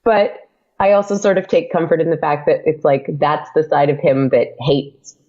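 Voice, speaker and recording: A woman's voice: sits low, fairly even intonation, moderate pace. A young adult woman chatting as a podcast guest, laughing often and using many fillers. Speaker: podcast guest, audio drama creator. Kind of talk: casual interview chat. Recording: dull lo-fi podcast audio.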